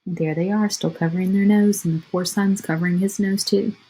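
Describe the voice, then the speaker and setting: A woman's voice: medium pitch, fairly even intonation, a little fast, warm tone, low-quality recording. A woman teacher reading a picture book aloud to children, expressively, with chatty asides.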